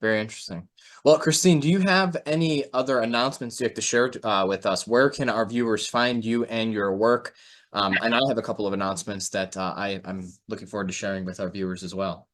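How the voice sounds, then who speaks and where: A man's voice: on the low side, brisk, a bit bright. A man speaking as a podcast host and market analyst, in a casual conversational style. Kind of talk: casual interview hosting with announcements.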